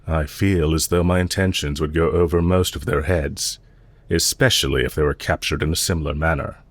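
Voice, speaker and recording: A man playing a low, husky, courteous villain. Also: fairly even intonation, dry recording. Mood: amused, composed. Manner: measured, formal, politely condescending. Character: calm, courteous villain leader, quietly menacing and amused. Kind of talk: audio roleplay, intimate scripted acting addressed to listener.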